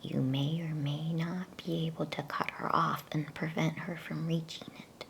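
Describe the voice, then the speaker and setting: Female ASMR storyteller: breathy whisper, very slow, low and soothing, for gentle bedtime reading. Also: flat delivery, a little hiss or hum. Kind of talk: whispered ASMR reading aloud of a children's novel.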